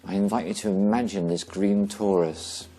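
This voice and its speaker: A man leading a guided meditation slowly and gently, pausing between short phrases. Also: low-pitched, fairly even intonation, faint music behind.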